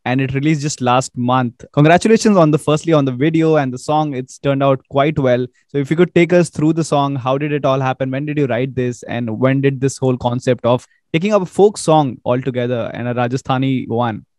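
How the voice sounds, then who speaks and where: Brisk man hosting a radio show. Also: mid-range voice, a little mellow, dry recording, studio quality. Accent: Indian. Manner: brisk, upbeat presenter delivery. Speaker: radio host. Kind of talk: radio interview.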